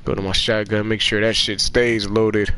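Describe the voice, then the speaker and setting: A man's voice: fairly low pitch, level tone, normal speed, bright, forceful tone, slight background noise. A man streaming a game who sounds nasal from a cold, swearing and trash-talking the characters as he plays. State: sick with a cold. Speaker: gaming streamer. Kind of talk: let's play commentary.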